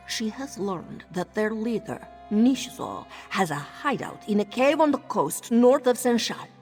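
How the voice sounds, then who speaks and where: A woman's voice: mid-range voice, darkish tone. A synthetic woman voice as a proud cat-folk townswoman. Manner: speaks of herself in third person. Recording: reverb with game music behind. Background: game music.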